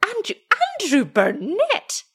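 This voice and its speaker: Lady putting on an old scottish wifie, high pitched, fretting and scolding, storybook tale. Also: wildly swooping pitch, takes it slowly, heavily acted. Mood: pleading. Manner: fretful, exclamatory, questioning. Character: anxious, scolding old Scottish shepherd's wife.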